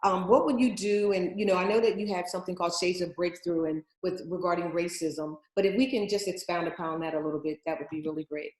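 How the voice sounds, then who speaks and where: A woman's voice: lowish voice, steady tone, quick pace, hardly any pauses, darkish tone. A woman hosting a casual interview, phrasing her questions hesitantly and tactfully. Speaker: interview host. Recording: roomy, echoing sound.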